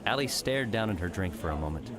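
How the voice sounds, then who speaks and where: A man's voice: deep voice, expressive, fast, a crowd in the background. A man reading scripted story narration as the narrator of an audiobook.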